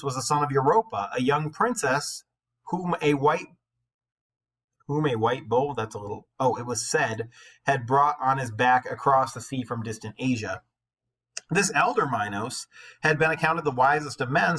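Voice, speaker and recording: A man with a bright, somewhat forceful voice and a husky edge to it. He speaks as a teacher, moving between expressive read-aloud storytelling and informal classroom explanation, with a conversational way of commenting as he goes. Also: medium pitch, rough recording.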